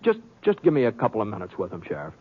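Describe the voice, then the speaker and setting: An actor playing an honest, indignant Western gunslinger with a hesitant, folksy drawling delivery in a 1950s radio western. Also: male voice, lowish voice, wildly swooping pitch, racing, husky, highs cut off, full character voice. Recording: thin, band-limited old radio recording.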